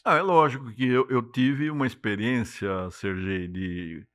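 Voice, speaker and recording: An older man with a São Paulo accent, speaking as an interview guest in a measured, explanatory way and often ending points with a tag question. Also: mid-range voice, a bit bright, dry recording. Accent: São Paulo Portuguese. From Brazil. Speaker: interview guest, city climate secretary. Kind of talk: unhurried interview talk.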